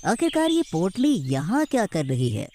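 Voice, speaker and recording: A woman's voice: mid-range voice, wildly swooping pitch, normal speed, mellow. A woman voicing a bold, opportunistic young village man in a cartoon story, with a brazen, exclamatory manner. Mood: curious.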